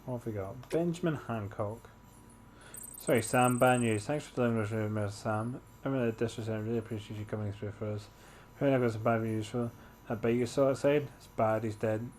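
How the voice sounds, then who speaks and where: A man gives casual commentary over a video game. His voice is mid-pitched and his manner offhand and jokey. There is faint noise from the game behind him. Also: fairly even intonation, a little fast, darkish tone. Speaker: gaming video host. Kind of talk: casual gameplay commentary, reading on-screen text.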